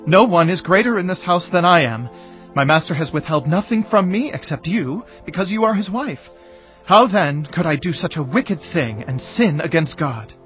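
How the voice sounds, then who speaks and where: A man plays an earnest young servant of ancient Egypt in a scripture drama. His voice sits a bit high and he speaks in declarations. The sound is slightly dull, over soft music. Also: expressive, moderate pace, natural voice. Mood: earnest, protesting. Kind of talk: dramatized audio Bible acting. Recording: muffled audio with music underneath. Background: background music.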